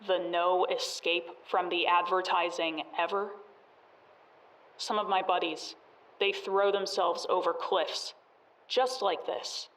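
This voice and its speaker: A woman's voice: on the low side, level tone, slow, fairly bright tone, far from the mic, low-quality recording. A woman playing a bitter, vengeful wasteland survivor in an audio drama, speaking colloquially and with menace. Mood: haunted, bitter.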